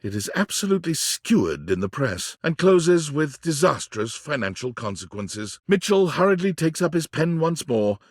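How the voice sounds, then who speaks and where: A man narrating a whimsical game as a wry, florid storyteller, with measured, theatrical delivery that relishes every flourish. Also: fairly high pitch, very animated, dry recording, studio quality. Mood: wry, grandiose. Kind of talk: scripted narration.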